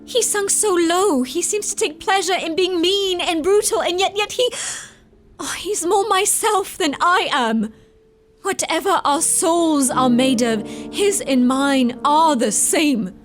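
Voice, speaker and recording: A woman's voice: extremely high pitch, expressive, slow, faint music behind, heavily acted. A young woman playing a passionate, torn young lady of the moors in a melodramatic radio drama. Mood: impassioned.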